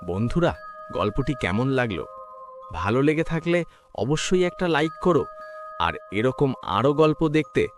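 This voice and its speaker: A man's voice: medium pitch, sing-song, a little slow, pauses often, soft background music. A man delivering a scripted narrator's sign-off to viewers. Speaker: channel narrator.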